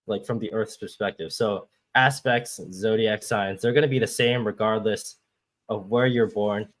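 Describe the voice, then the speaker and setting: A man's voice: on the low side, fairly even intonation, moderate pace. A man from Hong Kong, a guest astrologer, patiently explaining step by step over a video call. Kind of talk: explaining with shared screen.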